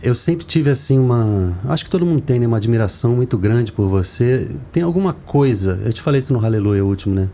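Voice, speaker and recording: A man's voice: medium pitch, lively intonation, quick pace, few pauses, dark tone. A Brazilian man hosting a podcast in casual, conversational interview style. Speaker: podcast host. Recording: clear background noise, room ambience.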